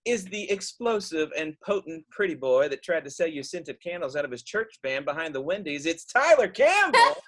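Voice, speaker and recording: A man's voice: on the high side, very animated, normal speed, hardly any pauses, a bit bright. A man hosting on a video call, reading playful scripted introductions with theatrical emphasis. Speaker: host introducing the cast.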